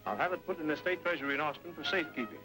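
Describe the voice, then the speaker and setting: An actor playing a firm, formal army major in an old-time radio Western, speaking with measured authority. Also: male voice, a little high, animated, sharp tone, rough recording. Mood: satisfied. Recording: old radio drama with faint music.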